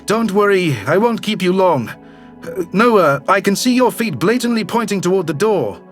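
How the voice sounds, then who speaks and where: Man playing a high-voiced, guarded alien governor. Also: expressive, soft background music. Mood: cool, formal. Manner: formal, measured, curt. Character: shifty, self-centered male alien governor, guarded and businesslike. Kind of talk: science fiction audio drama acting.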